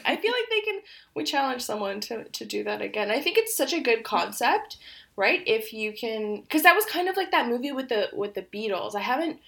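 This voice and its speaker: A woman's voice: a little high, big pitch swings, brisk, vocal fry. A woman in her twenties, whose first language is Polish, co-hosting a podcast and telling stories with frequent laughter. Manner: laughs often, warm storytelling. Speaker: podcast co-host. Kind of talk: casual chat.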